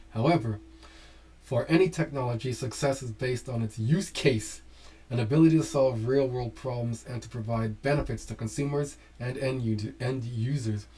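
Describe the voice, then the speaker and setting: Mellow-voiced man reading and commenting. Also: mid-range voice, breathy edge, rough recording. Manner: reads text aloud and breaks off with asides to viewers; animated, urging exclamations. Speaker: host. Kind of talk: casual commentary while reading documents aloud.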